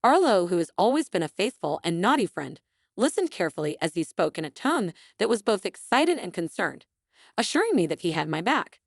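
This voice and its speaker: A woman reading a scripted first-person story in a dramatic, expressive, theatrical way. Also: lowish voice, a little fast, creaky, dry recording, studio quality. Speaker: story narrator.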